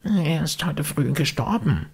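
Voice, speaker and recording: Casting: naive puppet boy for storybook, very high thin voice, earnest, plaintive. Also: lively intonation, measured pace, creaky, slightly rough, heavily acted. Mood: guarded.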